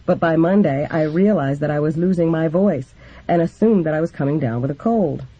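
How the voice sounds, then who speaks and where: A man's voice: on the high side, brisk, slightly rough. A man reading a memoir aloud as scripted narration, in a dull lo-fi recording. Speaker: reader of a book.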